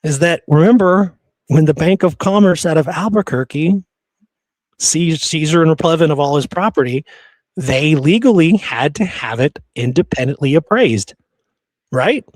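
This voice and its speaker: A man's voice: a little high, expressive, normal speed, a little mellow, dry recording. A man hosting a casual history discussion, speaking in an emphatic, argumentative and exasperated way. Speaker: podcast host.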